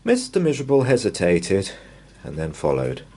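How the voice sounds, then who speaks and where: Low-voiced man reading a bedtime story, very expressive. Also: slightly rough. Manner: measured, drawn-out, expressive phrasing. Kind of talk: bedtime story read-aloud for young children.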